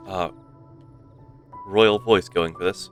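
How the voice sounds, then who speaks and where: A man streaming a game, chatting casually and haltingly as he goes, with pauses to find his words. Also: deep voice, steady tone, faint music behind.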